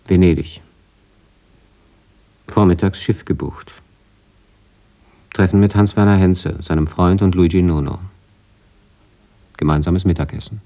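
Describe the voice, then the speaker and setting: A man's voice: low-pitched, dark tone. An actor playing a brooding writer who reads his old travel diary in terse, reflective fragments in a radio play. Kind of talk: radio drama diary reading.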